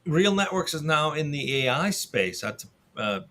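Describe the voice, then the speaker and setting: A man's voice: a little high, sing-song, a little slow, bright, forceful tone, slight background noise. A man hosting an interview show, upbeat and chatty, with occasional uhs. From Southern California. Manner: upbeat, conversational with fillers. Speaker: podcast host.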